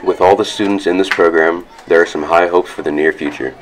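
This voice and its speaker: Teen male reporter, very deep, brisk scripted read. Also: fairly even intonation, slight background noise, rough recording. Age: teens. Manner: reads quickly from a script. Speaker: student reporter.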